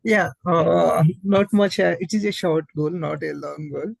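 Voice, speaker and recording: A male student gives a halting answer in an online class. His voice is on the high side with a lot of creak, and it sounds close and dry. He searches for his English words as he goes. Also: dry recording. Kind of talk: hesitant spoken answer to teacher.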